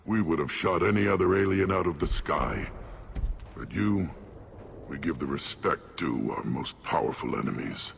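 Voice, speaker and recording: An actor playing a gruff, contemptuous alien clan leader in a video game, with a growling voice and a blunt, imperious manner. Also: male voice, a bass voice, lively intonation, moderate pace, slight background noise, exaggerated voice. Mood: stern, bitter. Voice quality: gravelly, growling. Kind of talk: video game cutscene acting.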